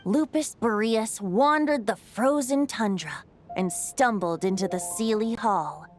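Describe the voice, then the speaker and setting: A woman voicing a whimsical, gentle young male bard in a video game, with a light voice and lyrical, courteous delivery. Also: medium pitch, unhurried, close to an everyday voice. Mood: lyrical, wistful. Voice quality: light, youthful. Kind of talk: video game voice acting, poetic narration. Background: music.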